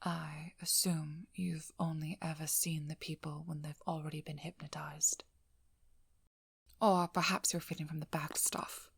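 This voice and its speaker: A shrewd female vampire hunter, acted by a woman in an intimate ASMR role-play. Her low voice is gravelly with fry and often drops to a breathy half-whisper. She is blunt, probing and dry, recorded very close with no room sound. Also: little pitch movement, unhurried, dry recording, heavily acted. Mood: hushed, measured. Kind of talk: ASMR character role-play, speaking softly to the listener.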